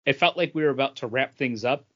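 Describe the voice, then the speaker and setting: A man with a medium-pitched voice thinks aloud in a casual podcast discussion. He explains his reading of a story in an informal way, on slightly muffled, lo-fi audio. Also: fast talker, a bit bright. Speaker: comic book podcast co-host. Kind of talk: casual book discussion chat.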